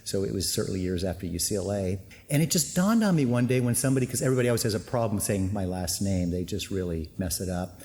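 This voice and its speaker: A man's voice: lowish voice, animated, fast, few pauses, dark tone. A middle-aged man from Canada, a native Finnish speaker and winery owner, telling his story in rambling, casual talk with many fillers and asides. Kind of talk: casual interview chat. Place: winery tank room. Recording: roomy tank room with long reverb, faint noise.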